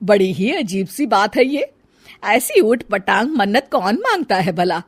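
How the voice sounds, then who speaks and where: A woman's voice: mid-range voice, very animated, measured pace, husky. A woman playing a bossy, suspicious middle-aged mother-in-law in a family story, scolding and firing exclamatory questions. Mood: puzzled. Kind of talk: dramatized Hindi family storybook acting.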